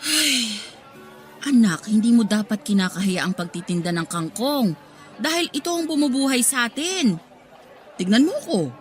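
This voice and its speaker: A woman's voice: on the high side, lively intonation, moderate pace, pauses often, faint music behind, close to an everyday voice. A woman playing a hardworking single mother who sells at a market, coaxing and scolding expressively in a Filipino radio drama.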